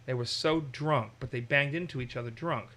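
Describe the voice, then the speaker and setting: A man's voice: mid-range voice, a little fast, slight background noise. A man from Massachusetts, teaching a conversational Bible study and animatedly acting out the quotes. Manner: animated, acts out quotes in raised voices.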